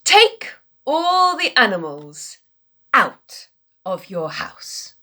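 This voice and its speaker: A woman voicing a wise old rabbi who gives advice slowly and thoughtfully. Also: on the high side, sing-song, bright, forceful tone, heavily acted. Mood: musing. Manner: slow, musing.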